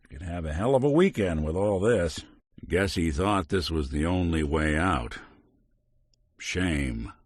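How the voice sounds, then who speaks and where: A gruff middle-aged detective who is half machine. He talks in dry wisecracks with a lowish voice that rises and falls freely. The recording is close, dead, and studio-clean. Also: expressive, unhurried, no echo. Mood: wry. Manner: dry wisecracking, world-weary. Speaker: companion character. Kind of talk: game character barks.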